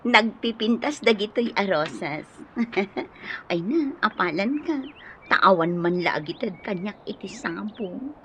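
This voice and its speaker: A woman's voice: mid-range voice, lively intonation, takes it slowly. An actress with an Ilocano accent voicing a giggly, teasing, lovestruck young woman in a radio drama. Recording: dull lo-fi audio, faint background noise.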